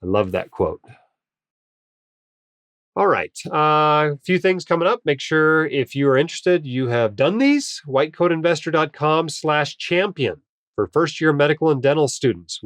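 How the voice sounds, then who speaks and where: Man, podcast host, mid pitch, slightly nasal from a cold, upbeat chatty delivery, dry close sound. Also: dry recording. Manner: upbeat, chatty, frequent "uh" fillers. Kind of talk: casual podcast monologue and interview intro.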